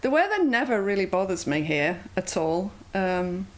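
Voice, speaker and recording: A woman with a watered-down Yorkshire accent talks easily about her life as an interview guest, her pitch in a middle range. She fills her thoughts with ums and uhs. A faint hiss sits under the recording. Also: big pitch swings, moderate pace. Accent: mild Yorkshire. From Yorkshire, England. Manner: chatty, lots of ums and uhs. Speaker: guest, yoga teacher. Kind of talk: casual interview chat.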